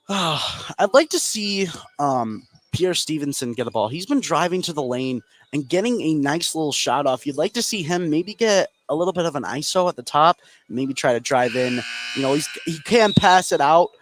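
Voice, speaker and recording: A man's voice: on the high side, lively intonation, moderate pace. A man giving conversational basketball analysis as a color commentator during a live broadcast. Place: indoor school basketball gym.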